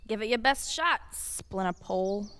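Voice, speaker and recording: A woman's voice: mid-range voice, expressive, moderate pace, fairly bright tone, clear, resonant tone, natural voice. A woman playing a brash, cynical rogue in a fantasy audio drama, blunt, cocky and sardonic. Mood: cocky, taunting. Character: brash, cynical female rogue, queen of thieves.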